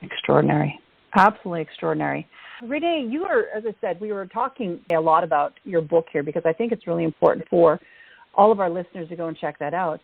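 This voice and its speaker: Effusive woman host, lowish voice, phone-like sound. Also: expressive, fast, vocal fry, slightly rough, no echo. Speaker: podcast host, interviewer. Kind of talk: conversational interview. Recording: dry but phone-like narrow sound.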